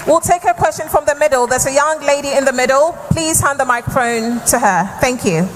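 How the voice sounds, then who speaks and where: A woman's voice: high voice, fairly quick, music in the background. A woman moderating a town hall, firmly and politely keeping order and calling on questioners. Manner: firm, polite crowd control. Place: large hall with crowd. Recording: echoey hall, noisy crowd.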